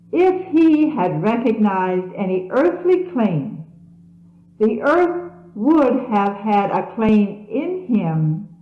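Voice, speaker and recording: Slow-reading woman in a dull, echoey recording. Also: medium pitch. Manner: solemn, measured, declamatory. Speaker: reader of religious messages. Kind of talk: scripted scripture reading, sermon-like.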